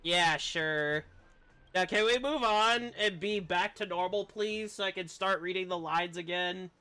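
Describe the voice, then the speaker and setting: A man's voice: sits high, a little slow, bright, forceful tone, smooth. A man casually commenting live on a video game he is playing, as a streamer.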